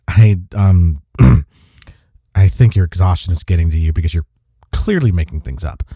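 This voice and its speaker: A man playing a smitten office rival in an intimate romantic audio roleplay, with a husky, mellow voice and a halting, teasing manner. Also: low-pitched, animated, no room sound, clean studio sound, heavily acted. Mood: flustered. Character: flustered, smitten male office rival confessing attraction.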